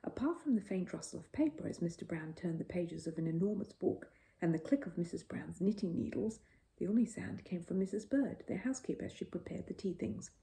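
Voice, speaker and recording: Woman reading kids bedtime story, soft dark tone, really animated up and down. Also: fairly low pitch, fairly quick, vocal fry. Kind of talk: expressive bedtime story narration.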